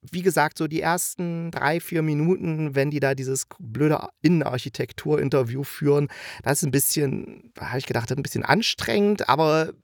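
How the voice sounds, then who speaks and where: A man's voice: a little high, normal speed, no room sound, studio quality. Chatty man reviewing with enthusiasm. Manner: enthusiastic, colloquial, rambling. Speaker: podcast host reviewing radio plays. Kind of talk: casual chatty review monologue.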